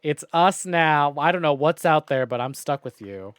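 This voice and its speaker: A man's voice: a little high, animated, normal speed, clean tone, dry recording, clean studio sound. A man streaming a visual novel game, reading aloud and commenting in a wry, conversational way. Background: faint game music.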